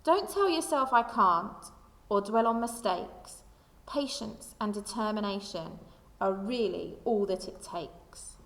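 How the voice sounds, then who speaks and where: A woman reading a children's picture book aloud like a primary school teacher, with expressive, deliberate storytelling delivery. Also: mid-range voice, gravelly. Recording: echoey room with long reverb.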